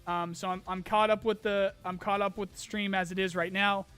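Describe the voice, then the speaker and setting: A man hosting a casual live-stream Q&A, rambling with many ums and restarts while his voice grows hoarse. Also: high-pitched, flat delivery, brisk, fairly bright tone, soft background music. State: losing his voice, clearing throat. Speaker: streamer host answering chat questions.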